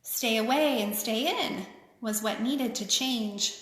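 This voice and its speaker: A woman reading a picture book aloud to children, with expressive, simple phrasing and chatty asides. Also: on the high side, slow pace. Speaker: children's author reading her own book. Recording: echoey, reverberant room.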